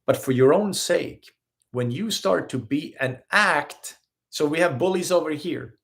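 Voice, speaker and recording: A man's voice: on the high side, unhurried, gravelly. A man from Sweden with a Swedish accent, giving an earnest live-streamed spiritual talk as a healer and host. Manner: earnest, animated, acts out little scenes in quotes.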